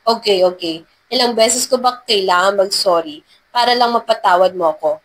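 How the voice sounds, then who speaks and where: A woman's voice: medium pitch, normal speed, lightly acted, low-quality recording. A woman voicing a wealthy male CEO in a romance story, earnest and apologetic, mixing in English phrases. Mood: weary, apologetic. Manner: earnest, code-switches into English. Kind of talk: dramatized romance story narration.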